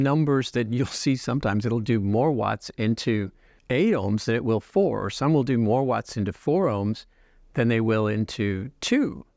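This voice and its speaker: Man explaining in deliberate phrases, dry sound. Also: medium pitch, darkish tone, no room sound. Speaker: loudspeaker company founder and designer. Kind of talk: explanatory product talk to camera.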